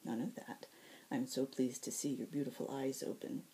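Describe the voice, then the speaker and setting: A woman voicing a gentle, affectionate cat-like female fairy healer in a story, speaking in formal, soothing phrases. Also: a bass voice, fairly even intonation, normal speed, rough recording.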